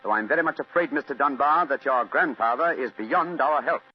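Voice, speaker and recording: An actor playing a commanding, shrewd British gentleman detective, clipped and theatrical, in a vintage radio drama. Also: medium pitch, a little fast, natural voice. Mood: urgent. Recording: dull old radio recording, faint music.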